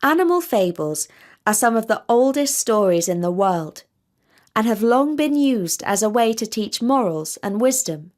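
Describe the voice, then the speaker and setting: A woman reads a scripted story for children. Her voice sits a little high, and she takes her time, setting out each phrase slowly and deliberately. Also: very animated.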